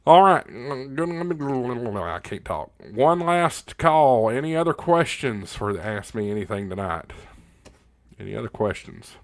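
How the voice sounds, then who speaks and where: A man hosting a casual livestream, talking in a folksy, halting way with pauses, close up on a studio microphone. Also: mid-range voice, very animated. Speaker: livestream host of a ham radio channel. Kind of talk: casual livestream chat answering viewer questions. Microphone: close directional studio condenser microphone, spoken right up on it.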